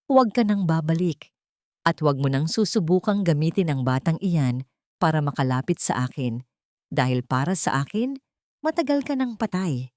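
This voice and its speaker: A woman's voice: sits low, expressive, moderate pace, darkish tone, dry recording, clean studio sound. A woman voicing a cruel rich man in an audio drama, cold, harsh and contemptuous. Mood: cold contempt.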